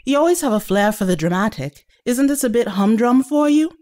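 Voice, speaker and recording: Actress as a wry young woman, lively pitch. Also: a little fast, lightly acted. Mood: wry, surprised. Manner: wry, questioning. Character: young female companion, wry, practical, teasing. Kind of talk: audio drama acting.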